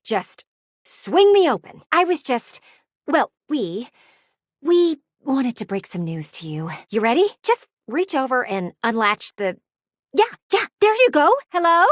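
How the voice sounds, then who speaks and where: A woman's voice: high voice, big pitch swings, a little slow, no echo, studio quality, full character voice. A woman voicing a nosy, prim, easily offended lady window in a video game, with a theatrical, gushing and condescending manner. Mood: gushing, hesitant. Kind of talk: animated video game character acting.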